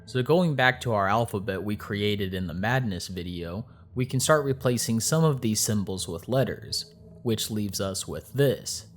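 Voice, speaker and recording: A man's voice: lowish voice, expressive, moderate pace, slight background noise. A man hosting an analysis video, reading scripted explanatory narration addressed to his viewers. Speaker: host of a video analysis channel.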